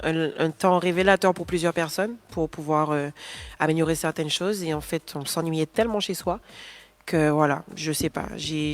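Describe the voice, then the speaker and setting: A woman, a guest singer answering interview questions in a studio, talking with many fillers and restarts. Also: deep voice. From Guadeloupe. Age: 20s. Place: pop-up studio.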